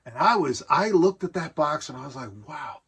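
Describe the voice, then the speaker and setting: Middle-aged American man with a husky voice. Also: fairly high pitch, lively intonation, normal speed, fairly bright tone. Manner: conversational, rambling, enthusiastic. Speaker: presenter showing a collection of vintage gadgets. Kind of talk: casual nostalgic show-and-tell talk.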